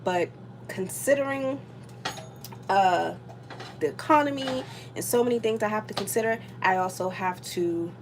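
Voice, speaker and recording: A woman's voice: mid-range voice, a little slow. Tired woman chatting over sizzling pan. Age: 30s. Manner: chatty, rambling with many fillers. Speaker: vlogger. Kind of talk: casual chat while cooking. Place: home kitchen. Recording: sizzling cooking noise behind. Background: food sizzling in a pan.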